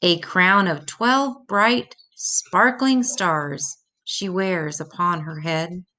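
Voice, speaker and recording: An older woman reading a rhymed children's religious story aloud in a measured, deliberate way. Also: fairly low pitch, sharp tone, poor audio.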